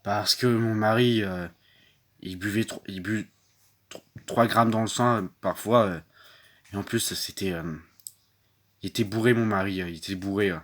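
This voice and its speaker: A man voicing a young battered wife who speaks softly and haltingly, repeating herself and trailing off. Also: lowish voice, steady tone, lightly acted. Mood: downcast.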